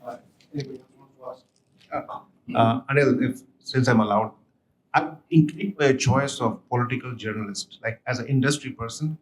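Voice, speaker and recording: A man in the audience asking direct questions at a workshop. He speaks loudly and gets straight to the point. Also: mid-range voice, moderate pace, long pauses, a little hiss or hum, poor audio. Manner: loud, direct. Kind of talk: conference question.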